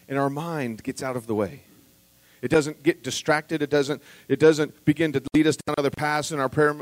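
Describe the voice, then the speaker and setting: A man's voice: fairly high pitch, dry recording. Earnest male preacher, dry close sound. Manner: earnest, building to emphatic peaks then dropping quiet; repeats words when restarting, conversational asides to the congregation. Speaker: pastor. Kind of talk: sermon.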